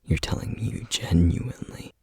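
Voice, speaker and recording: A man playing a solemn, weary, duty-bound flame demon in an ASMR roleplay, whispering breathily and haltingly. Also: darkish tone, no room sound, natural voice. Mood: taken aback. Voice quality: breathy, husky whisper with gravelly edges. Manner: slow, halting, measured delivery with heavy pauses. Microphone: close microphone, dry sound.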